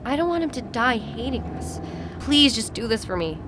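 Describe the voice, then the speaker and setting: A woman's voice: on the high side, big pitch swings, normal speed. A woman acting in an audio drama as an anxious, pleading, loving young fiancée. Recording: noisy vehicle ambience.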